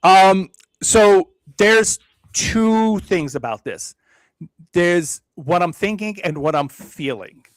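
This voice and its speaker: Brief: reflective male guest from Brooklyn, slightly higher pitch, dry close sound. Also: expressive, no echo, low-quality recording. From Brooklyn, New York. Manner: measured, self-deprecating, reflective; frequent hedging fillers and restarts. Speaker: podcast guest. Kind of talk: casual interview conversation.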